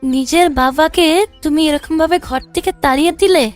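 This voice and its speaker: A woman's voice: high-pitched, moderate pace, soft background music, natural voice. A woman playing an emotional, caring young village wife in a cartoon, with a plaintive manner. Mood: shocked, reproachful. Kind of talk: cartoon voice acting.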